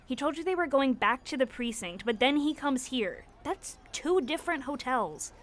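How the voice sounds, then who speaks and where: A woman's voice: high voice, lively intonation, moderate pace. A woman playing a practical, bossy teenage girl sleuth in an audio drama. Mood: firm, scheming. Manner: firm, instructing, no-nonsense. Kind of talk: nostalgic audio drama acting.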